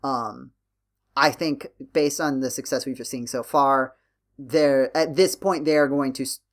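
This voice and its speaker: A man hosting a casual podcast discussion, talking in a halting way and circling back as he thinks aloud. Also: mid-range voice, fairly even intonation. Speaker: podcast host.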